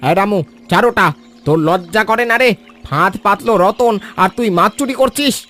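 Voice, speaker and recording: A man playing a loyal, protective village uncle in an animated children's story, blunt and aggrieved in manner. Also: sits high, moderate pace, faint music behind. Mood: indignant.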